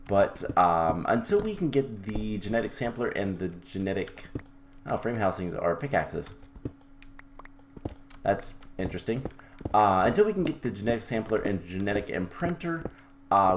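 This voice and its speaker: Gaming host, young man, low voice but lively, a bit hoarse from a cold, rambling, faint keyboard typing behind. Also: a little hiss or hum. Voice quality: slightly raspy. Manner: chatty, rambling, frequent ums and self-corrections. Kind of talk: casual Minecraft modpack let's-play commentary.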